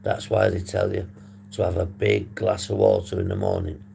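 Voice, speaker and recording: A man hosting a casual livestream, hoarse and husky, rambling and swearing as he reads the chat. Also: low-pitched, sing-song, moderate pace, dark tone. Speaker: livestream host. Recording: noisy background hum.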